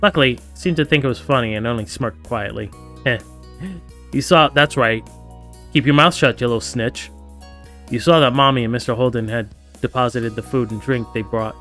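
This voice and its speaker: The guy on a game stream reading a story game out loud in a middling voice, playfully chiming in over the soundtrack. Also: mid-range voice, a little fast, faint music behind. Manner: playful, acts out characters' lines. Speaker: streamer playing a visual novel. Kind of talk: reading game text aloud with casual asides.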